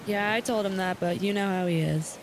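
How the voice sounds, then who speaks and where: A woman's voice: mid-range voice, fairly quick, background noise, lightly acted. An actress playing an anxious, defensive young woman captaining a small boat in an audio drama, hesitant and evasive. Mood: nervous, evasive.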